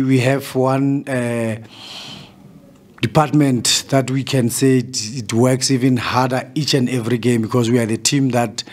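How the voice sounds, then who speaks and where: A South African man in his forties, a football coach answering press questions in a measured way, often restarting his phrases, at a table mic in a press room. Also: medium pitch, a little mellow. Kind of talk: post-match press conference answers. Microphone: table mic in front.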